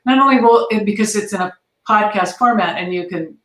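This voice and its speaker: A woman's voice: medium pitch, brisk, a bit bright. A woman giving enthusiastic, conversational answers as a guest in an interview. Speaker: guest, theatre arts advocate. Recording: roomy, echoey sound.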